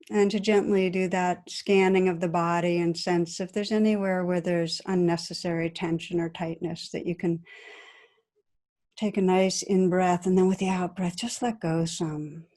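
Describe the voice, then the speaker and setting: A woman meditation teacher guiding a practice in a gentle, soothing, unhurried manner with long pauses between phrases. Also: on the low side, flat delivery. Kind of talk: guided meditation.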